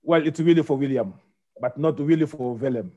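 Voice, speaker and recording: A middle-aged man from Congo, a guest on a video call, explaining things in a measured way. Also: on the high side, dull audio, background noise. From Democratic Republic of Congo. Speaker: guest, property investor. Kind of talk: casual video-call interview. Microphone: video call with earphones.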